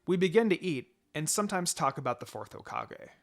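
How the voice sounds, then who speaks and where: A man's voice: on the high side, lively intonation, brisk, creaky. A man narrating a first-person fan fiction story.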